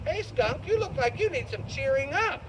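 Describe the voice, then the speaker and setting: A woman's voice: high-pitched, big pitch swings, moderate pace, background noise. A woman voicing a friendly, chatty male rabbit in a children's puppet show. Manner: chatty, eager, neighborly. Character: cheerful, friendly male rabbit, eager home cook.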